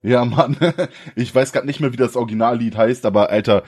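A young man from the co-hosting a casual podcast, slangy and relaxed, often laughing. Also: medium pitch, moderate pace. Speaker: podcast co-host. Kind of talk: casual chat.

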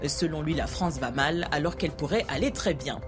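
A woman presenting a news bulletin in a measured, even delivery. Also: sits low. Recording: music bed under voice.

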